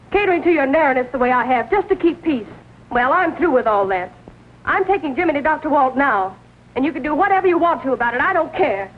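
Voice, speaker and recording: An actress playing an earnest, defiant young niece in a 1940s melodramatic film style. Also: female voice, high-pitched. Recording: tinny, noisy old film soundtrack.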